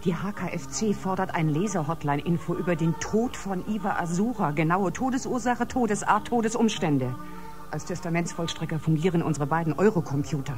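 A woman's voice: lowish voice, fairly quick, music in the background, natural voice. An actress playing an agitated, grave female ship's doctor in a science-fiction radio drama, with dramatic delivery. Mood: exasperated. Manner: dramatic, shifting from brooding to urgent.

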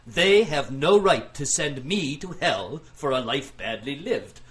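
A man playing a self-assured, pompous plaintiff in an audio drama, addressing a court with deliberate, oratorical delivery. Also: fairly high pitch, lively intonation. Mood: formal, defiant. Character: self-assured male plaintiff arguing pompously against God.

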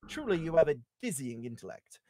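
Woman as a cool, low-toned masked swordsman. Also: very animated, brisk, a little mellow, dry recording. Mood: cool challenge. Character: calm, terse masked male swordsman.

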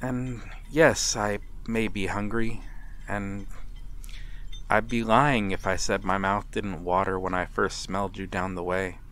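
Man playing a slow, low-voiced, melancholy vampire. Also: level tone, a bit bright. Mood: gentle, reassuring. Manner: formal, measured, with long pauses. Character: courteous, melancholy male vampire, lonely and mysterious. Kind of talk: slow dramatic ASMR roleplay. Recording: clear background ambient noise. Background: night harbor ambience.

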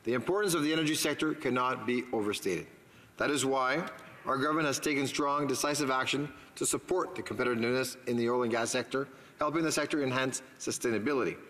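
A man of middle pitch reads a prepared government statement in a formal, measured manner. His voice carries a long reverb from the legislative chamber around him. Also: a bit bright. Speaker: parliamentary secretary.

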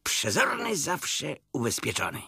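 A man puts on a fussy male rabbit for a children's story. He is bossy yet cautious and tends to mutter. The voice is raspy, with very animated pitch. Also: moderate pace, dry recording, clean studio sound, heavily acted.

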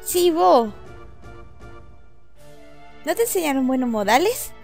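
A woman's voice: high-pitched, very animated, takes it slowly, gravelly, darkish tone, soft background music, full character voice. A woman voicing a brash, scolding young stage actress from a video game, with an attempted Argentine accent. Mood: indignant.

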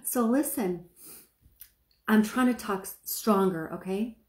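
A middle-aged woman with a soft speaking voice, preaching casually to a phone camera and checking that listeners follow her. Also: mid-range voice, slow, roomy sound. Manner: chatty, asks the viewer questions, stretches words for emphasis. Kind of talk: informal sermon to camera. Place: small room indoors, seated.

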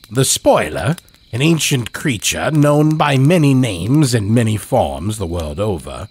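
A man's voice: on the low side, gravelly, husky. A man speaking as a podcast host and storyteller, delivering scripted horror narration in a dramatic, theatrical way.

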